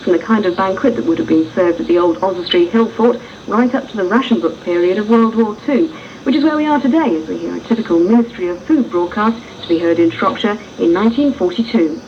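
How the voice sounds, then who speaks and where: A woman's voice: mid-range voice, fast. A woman presenter reading a scripted introduction for a radio series. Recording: dull, distant lo-fi tape, faint noise.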